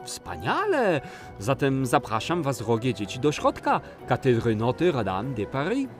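A kindly guide in a children's audio play. He speaks low and soft, calm and patient as he explains. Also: unhurried, reverberant, long reverb tail, over loud music. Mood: welcoming. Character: kindly male cathedral guide teaching children.

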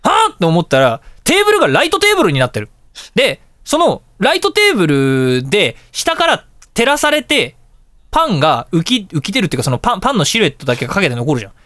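A man hosting a casual radio talk show, telling anecdotes in an animated way and acting out dialogue and reactions. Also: high voice, fast talker, dry recording, studio quality.

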